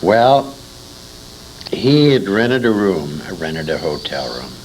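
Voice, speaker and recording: A man's voice: low voice, very animated, slow, slight background noise, rough recording. An older American man telling anecdotes as an interview guest, in a salty, emphatic way, repeating phrases for effect. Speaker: interview guest, old-time radio veteran. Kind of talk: animated anecdotal storytelling.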